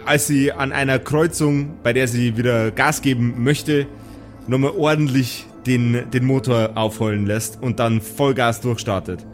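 A man's voice: mid-range voice, steady tone, measured pace, soft background music. A man improvising narration as the game master of a tabletop role-playing audio play.

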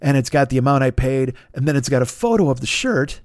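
A man hosting a podcast, telling a long comic story in a rambling, animated way, cursing constantly and acting out the exchanges he quotes. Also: mid-range voice, quick pace, dry recording. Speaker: podcast host. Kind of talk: profane comedic storytelling.

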